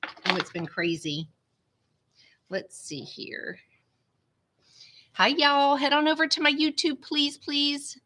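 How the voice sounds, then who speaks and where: A woman with a drawl chats in a rambling, friendly way to her live-stream viewers, calling them "y'all." Her voice is bright and forward, a little nasal from congestion, and it is picked up by a small webcam at her home computer. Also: high-pitched, slow pace, pauses often. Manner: chatty, rambling, says "y'all" often. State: stuffy, congested nose. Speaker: vlogger hosting a live stream.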